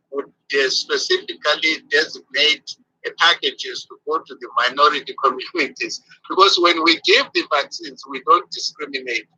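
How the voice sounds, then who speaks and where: Hesitant older doctor from Zimbabwe, husky. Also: male voice, on the high side, bright, forceful tone, poor audio. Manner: hesitant, many fillers and restarts. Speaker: physician guest. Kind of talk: interview answers.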